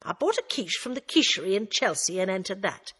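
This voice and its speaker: A woman voicing a brisk, prickly middle-aged woman with a curt way of speaking. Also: medium pitch, very animated, pushed and bright, breathy edge, exaggerated voice. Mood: defensive.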